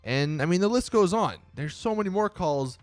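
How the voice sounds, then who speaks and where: A young man delivers casual gaming commentary in a fairly high voice. His pitch swings widely as he complains with exasperated emphasis. The recording is close and dry, with music playing faintly underneath. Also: animated, normal speed, dry recording. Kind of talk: casual opinion commentary over gameplay. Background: background music.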